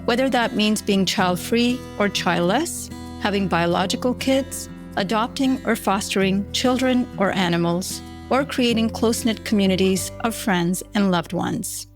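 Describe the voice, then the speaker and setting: A woman's voice: medium pitch, measured pace, few pauses. A woman hosting an interview podcast, delivering a scripted introduction and asking questions in an encouraging way. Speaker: podcast host. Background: guitar music.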